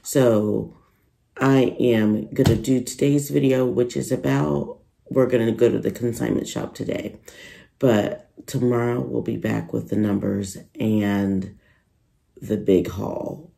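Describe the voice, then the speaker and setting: A woman's voice: a bass voice, fairly even intonation, slow, creaky, warm tone. A tired woman vlogger who is losing her voice, chatting casually and rambling to her viewers about her resale business. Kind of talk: casual vlog chat to viewers.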